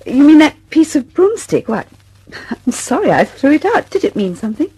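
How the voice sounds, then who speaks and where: An actress in an old radio drama playing a gentle, apologetic, slightly flustered wife with a genteel manner. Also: female voice, sits high, sing-song, moderate pace, low-quality recording. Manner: genteel, fluttery.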